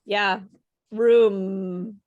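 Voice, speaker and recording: Slow, patient woman teaching pronunciation. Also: mid-range voice, fairly even intonation, a little mellow, muffled recording. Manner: patient, encouraging, explains with repeated example words. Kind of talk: online group pronunciation lesson.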